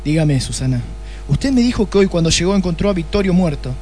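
Male actor as impatient detective, Argentine accent, highish pitch, curt, deadpan, comic radio drama. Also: brisk, slight background noise. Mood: probing. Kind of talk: comic radio play acting.

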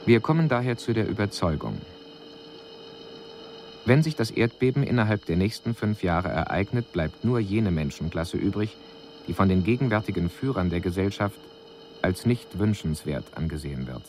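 An actor playing a grave, detached alien observer, reporting formally and in measured phrases in a radio drama. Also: male voice, lowish voice, lively intonation, darkish tone, slight background noise. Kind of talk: scripted radio drama monologue.

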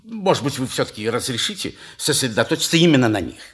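An actor playing a pompous, impatient gentleman in a theatrical audio play, sarcastic and businesslike. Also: medium pitch, very animated, quick pace, husky. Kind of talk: theatrical radio play acting.

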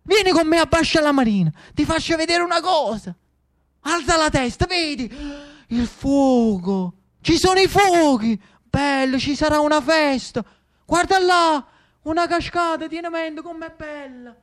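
A man's voice: extremely high pitch, expressive, unhurried, a bit bright, no room sound, studio quality, pitched above the performer's own voice, full character voice. A man playing an anguished, weary Neapolitan man who pleads emotionally and repetitively in a theatrical radio monologue. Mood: urgent pleading.

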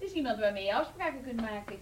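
An actress plays a tired, earnest woman with a fairly high voice, a little away from the microphone. She speaks softly and hesitantly, reflective and evasive, in an intimate radio drama with faint noise. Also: animated, fast talker, natural voice. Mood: quiet, reflective. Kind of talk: intimate Dutch radio play acting. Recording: slightly distant, faint noise and clicks.

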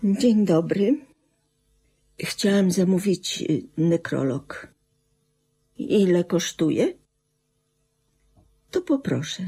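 An actress playing a cold, unsentimental middle-aged wife in a radio play, with a matter-of-fact, dismissive manner. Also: female voice, on the low side, mellow, slightly rough, full character voice. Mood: businesslike.

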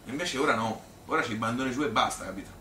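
A man's voice: medium pitch, fast talker, close to an everyday voice. A male actor playing a chatty Tuscan man who reminisces about his youth in a colloquial, rambling stage monologue, full of repetitions and appeals for agreement. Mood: wistful. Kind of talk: spontaneous-sounding theatrical storytelling. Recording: echoey room, distant mic, faint noise.

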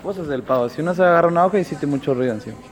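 Un varón haciendo de alumno argentino que habla rapidísimo. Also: male voice, fairly high pitch, animated, natural voice. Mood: defensive. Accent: Argentine Spanish. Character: slangy teenage schoolboy, grumbling accomplice. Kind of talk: amateur school radio play. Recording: noisy amateur recording.

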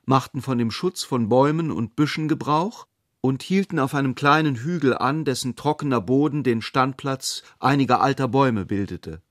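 A man reading literary narration for a radio play with measured, deliberate phrasing. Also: medium pitch, no echo, studio quality.

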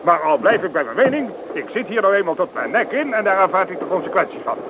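An agitated man argues emphatically in a radio play. His voice sits a little high, on a dull recording with loud noise behind it. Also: very animated, fast. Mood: urgent.

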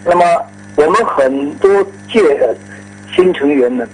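A man reminisces in a slightly high voice. He speaks haltingly with frequent trailing particles, over a faint background hum. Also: animated, darkish tone, rough recording. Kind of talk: casual reminiscence.

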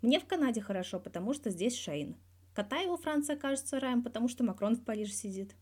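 Woman voicing a wry young male athlete, mellow. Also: fairly high pitch, expressive, fast, breathy edge, pitched above the performer's own voice. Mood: blunt, wry. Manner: guarded yet blunt, dryly teasing, swears freely. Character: candid, wry young male star athlete being interviewed.

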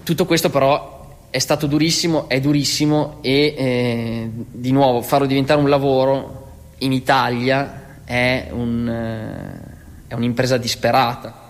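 A man interviewed about running a theatre project, speaking hesitantly with many pauses. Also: medium pitch, level tone. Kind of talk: documentary testimony. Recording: reverberant room.

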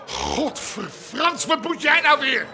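An actor playing a bullying old-style crime boss in a Dutch radio drama, coarse and demanding. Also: male voice, extremely high pitch, sing-song, slow pace, a crowd in the background, exaggerated voice. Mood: exasperated.

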